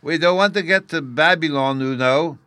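A man's voice: on the high side, moderate pace, bright, forceful tone, no echo, voice pushed up. A man voicing an eager, matter-of-fact little boy in a storybook.

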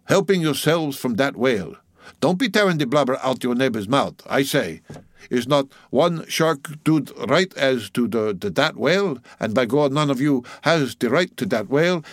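A man's voice: animated, moderate pace, dry recording, studio quality, lightly acted. A man voicing a sulky ship's cook of about ninety, muttering testily in dialect, in an audiobook story. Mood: scolding. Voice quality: shrill when raised. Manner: muttering, testy, dialect speech.

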